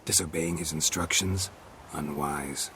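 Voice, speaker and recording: An actor playing a stoic, grizzled male monster hunter in a video game, talking in a dry, terse, deadpan way. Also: extremely low pitch, measured pace, slight background noise, exaggerated voice. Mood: commanding. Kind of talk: video game cutscene acting.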